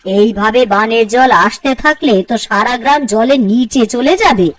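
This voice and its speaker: A woman's voice: a little high, moderate pace, distant mic, lightly acted. A woman playing a principled, nagging village headman's wife in a cartoon fairy tale, earnest and reproachful. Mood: concerned, reproachful.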